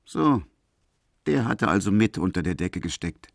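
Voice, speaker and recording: Man as low, creaky narrator of a grim tale. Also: very animated, a little slow, no echo, clean studio sound, full character voice. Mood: grim, indignant. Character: male third-person narrator of a grim tale about a released madman. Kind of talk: literary radio-play narration.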